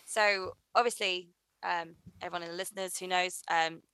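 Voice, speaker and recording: A woman hosting a podcast, gently encouraging her guest with frequent fillers. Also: on the low side, fairly even intonation, normal speed, clean tone, no room sound. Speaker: podcast host. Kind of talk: casual chat.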